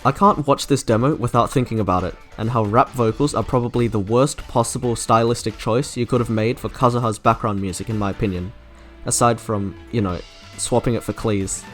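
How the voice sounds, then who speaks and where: A man's voice: mid-range voice, fairly even intonation, brisk. A young man reading scripted opinion commentary as a video essayist. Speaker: video essayist reviewing game trailers. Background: soft game music.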